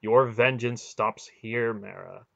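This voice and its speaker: Commanding storybook spirit, acted by a man, calm, curt, measured, gravelly, dull recording. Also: mid-range voice. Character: calm commanding male spirit speaking through a boy.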